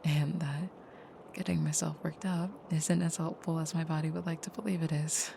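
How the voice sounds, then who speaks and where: Woman acting a hushed, low-key vampire girlfriend. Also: steady tone, moderate pace, slight background noise. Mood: calming, grateful. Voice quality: soft, breathy, often near a whisper. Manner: gentle, articulate, slightly formal phrasing. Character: tender, anxious vampire girlfriend, newly made leader, soft-spoken. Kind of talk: intimate ASMR-style audio roleplay.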